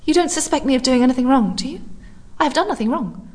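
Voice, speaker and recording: A woman voicing a frightened young woman lost at night, who talks in a breathless, hurried, pleading way. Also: high-pitched, lively intonation, darkish tone, breathy edge, pitched above the performer's own voice. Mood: anxious.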